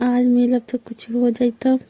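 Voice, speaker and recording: A woman acting as a discontented village wife with a Bhojpuri accent, her voice fairly high yet soft and mellow. She talks casually and evenly on a phone call, matter-of-fact as she conspires with a lover. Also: completely flat delivery, measured pace, dry recording. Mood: complaining, calm. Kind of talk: casual phone conversation in rural dialect.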